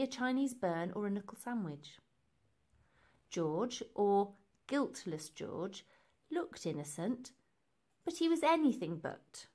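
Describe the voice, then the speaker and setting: Slow, very expressive woman storyteller. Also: medium pitch. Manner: deliberate, emphatic. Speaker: storybook reader. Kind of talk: expressive children's story narration.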